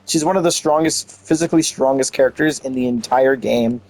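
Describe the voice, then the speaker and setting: A man's voice: mid-range voice, fairly quick, darkish tone, rough recording. A man giving casual video game commentary, rambling and thinking aloud as he plays. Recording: faint game music behind.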